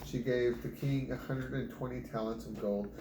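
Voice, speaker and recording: A man's voice: mid-range voice, moderate pace. Man reading scripture aloud at home. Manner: steady reading with frequent restarts. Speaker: Bible reader. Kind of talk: family Bible reading aloud. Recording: echoey room with background noise.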